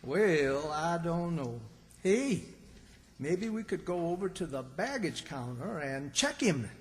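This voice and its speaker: A man's voice: a little high, very animated, slow, a little hiss or hum. A man playing a flustered, folksy older country character with a rural Southern American accent in an old-time radio comedy. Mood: puzzled. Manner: folksy, fretful, exclaiming. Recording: stage performance in reverberant hall.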